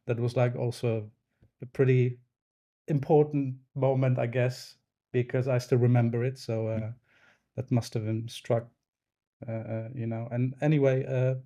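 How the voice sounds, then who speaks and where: Man, thirties, native Polish speaker, dark soft-edged tone, thoughtful and hesitant, modest, casual interview guest. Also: medium pitch. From Poland. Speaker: podcast guest, snooker referee.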